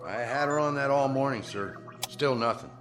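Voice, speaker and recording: A man's voice: medium pitch, normal speed, music in the background, natural voice. Man acting a subdued, dutiful radar watchman. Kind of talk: radio drama acting.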